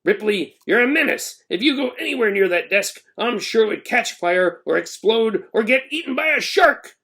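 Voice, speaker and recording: A man's voice: sits high, lively intonation, moderate pace, a bit bright, voice pushed up. A man voicing a furious, pompous school principal who snaps indignantly in a children's storybook reading. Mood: exasperated, scolding. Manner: indignant, snapping, emphatic outbursts. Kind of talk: children's storybook read-aloud.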